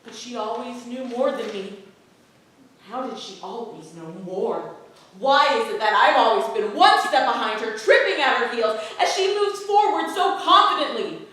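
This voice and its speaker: A woman's voice: a little high, animated, normal speed. A woman playing a pious, guilt-ridden Puritan wife and mother, delivering an earnest, impassioned stage monologue. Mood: wistful, envious. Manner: earnest, impassioned, swelling from hushed to loud. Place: playhouse stage with live audience. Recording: distant mic in very echoey hall.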